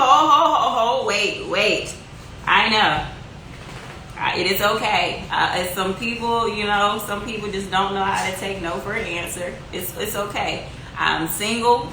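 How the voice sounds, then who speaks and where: Chatty Southern woman in her twenties. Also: medium pitch. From North Carolina, US. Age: 20s. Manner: chatty, upbeat, talks straight to viewers with lots of fillers. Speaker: business founder answering viewer questions. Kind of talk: casual livestream-style Q&A. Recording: echoey room with noticeable background noise.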